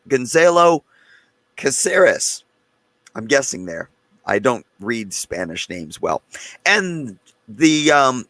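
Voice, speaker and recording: A man with a hoarse, fading voice hosting a casual livestream and talking loosely and chattily to his viewers. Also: mid-range voice, a bit bright, no echo. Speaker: host of a live gun channel stream.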